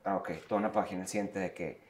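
A man's voice: deep voice, level tone, fast, a little mellow, echoey room. A man with a Mexican Spanish accent, co-hosting a podcast, joking and sarcastic in a slangy casual chat with friends. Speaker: podcast co-host. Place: studio bar set.

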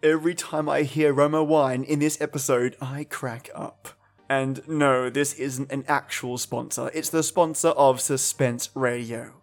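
A man's voice: mid-range voice, little pitch movement, unhurried. Playful male podcast host. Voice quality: playful, theatrical. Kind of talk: podcast introduction.

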